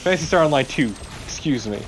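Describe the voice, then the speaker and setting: A man's voice: a little high, expressive, quick pace, slight background noise. A man co-commentating on a video game in casual, informal chat with a sweary streak.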